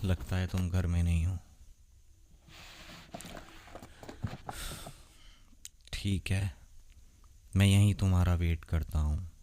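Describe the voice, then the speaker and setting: Man playing a deep-voiced, tender vampire boyfriend. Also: level tone, slight background noise, close to an everyday voice. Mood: wistful, gentle. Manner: soft, intimate, gentle, unhurried. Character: tender, lonely centuries-old vampire boyfriend, male. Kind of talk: romantic ASMR roleplay, addressed to the listener.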